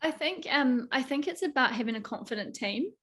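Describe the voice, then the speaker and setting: A woman producer from New Zealand speaks earnestly and enthusiastically on a panel. Her voice sits a little high, and she laughs quickly between thoughts. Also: expressive, pushed and bright, husky. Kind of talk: panel talk.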